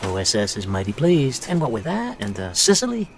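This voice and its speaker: A man's voice: mid-range voice, wildly swooping pitch, fairly quick, a little hiss or hum. A man playing a genial, shrewd wartime intelligence officer in a game, briefing in a folksy, confiding way. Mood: brisk briefing. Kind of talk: dramatic game cutscene acting. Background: music.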